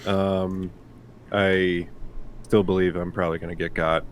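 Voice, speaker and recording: A man chatting casually in a halting, self-deprecating, sweary way as a tabletop player. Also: low voice, flat delivery, a little hiss or hum. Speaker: player on a tabletop game show. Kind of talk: casual chat.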